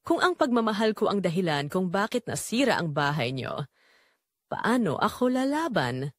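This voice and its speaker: Woman acting pleading, remorseful mother, expressive pitch. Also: moderate pace, dry recording, clean studio sound. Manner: pleading, apologetic. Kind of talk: dramatic audio story dialogue.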